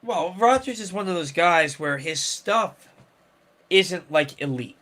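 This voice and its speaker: A man's voice: sits high, lively intonation, slow, a bit bright. A man hosting a casual sports talk show, rambling with many restarts and fillers. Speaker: sports podcast host.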